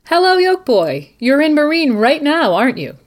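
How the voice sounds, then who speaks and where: A woman hosting as a news anchor, reading a scripted bulletin in a measured, formal newsreader delivery. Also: sits high, big pitch swings. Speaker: podcast host as news anchor. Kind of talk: scripted mock news broadcast.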